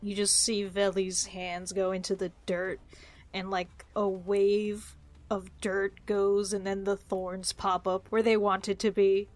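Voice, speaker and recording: A woman's voice: mid-range voice, little pitch movement, slow, darkish tone, soft background music. A woman taking part as a player in a tabletop roleplaying game, chatting casually about her moves and rolls.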